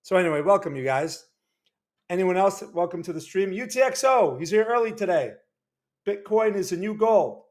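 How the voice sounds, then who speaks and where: A man's voice: high-pitched, animated, moderate pace, darkish tone. A man hosting a market analysis livestream in a loose, chatty way, greeting viewers and restarting his sentences. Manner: chatty, reads out chat names, loose restarts. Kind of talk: casual livestream talk. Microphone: desk microphone.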